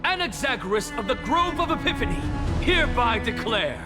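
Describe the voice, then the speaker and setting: A man's voice: very high-pitched, very animated, moderate pace. An actor playing an arrogant male scholar in a game cutscene, declaiming grandly and defiantly. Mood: lecturing. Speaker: game character. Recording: game cutscene with loud music and long reverb.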